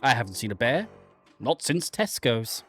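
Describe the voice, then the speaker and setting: A man's voice: mid-range voice, big pitch swings, moderate pace, fairly bright tone, soft background music. A man giving casual, theatrical game commentary with a jokey manner, slipping into dramatic reading. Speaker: game streamer presenting a first look. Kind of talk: casual playthrough commentary mixed with dramatic reading of on-screen narration.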